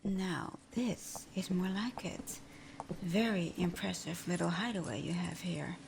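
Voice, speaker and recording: A woman's voice: fairly low pitch, a little slow, gravelly, background noise. A woman playing a sly, flirtatious supervillain in a scripted ASMR roleplay, murmuring breathily with teasing hums. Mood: teasing, sarcastic. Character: flirtatious, sly female supervillain teasing her hero nemesis.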